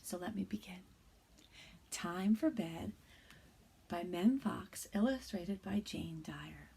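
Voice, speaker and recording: A woman in her thirties, a kindergarten teacher, reading a bedtime picture book aloud to young children in a soft, hushed voice that often drops to a whisper. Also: lowish voice, takes it slowly, gravelly, breathy edge. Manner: gentle and encouraging to young children.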